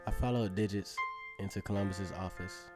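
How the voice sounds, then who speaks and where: A man's voice: low-pitched, steady tone, moderate pace, close to an everyday voice. An actor playing a cocky, dreamy teenage circus acrobat who narrates his own story in a casual, self-assured way for a radio drama. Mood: confident, musing. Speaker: narrator and lead character. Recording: music playing behind the voice. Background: instrumental music.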